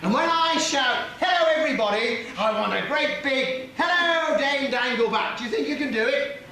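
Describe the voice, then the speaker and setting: Cheeky English panto dame, male actor, brash housekeeper, high and wildly swooping, live echoey stage. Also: expressive, normal speed. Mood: cheeky, teasing. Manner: teasing, exclamatory, playing to the audience. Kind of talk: British pantomime stage comedy. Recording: echoey theatre hall, noisy audience.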